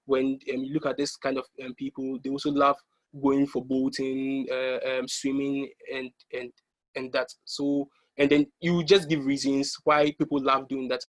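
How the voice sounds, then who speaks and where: A young man from Ghana presenting an online exam-prep workshop over slides, pausing often with ums and restarting his sentences. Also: mid-range voice, level tone, low-quality recording. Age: young adult. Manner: hesitant, many ums and restarts.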